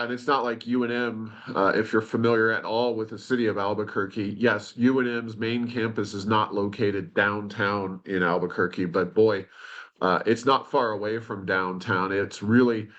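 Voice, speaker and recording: Lower-voiced man discussing policy. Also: a bit bright, dull audio. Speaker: podcast host.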